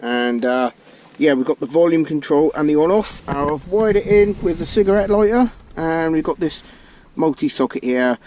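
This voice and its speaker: A man's voice: a little high, moderate pace, darkish tone. A man with a British accent giving a casual, chatty hands-on gadget walkthrough to his viewers as a vlog host. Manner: chatty, addresses viewers as folks. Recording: clear vehicle and ambient noise.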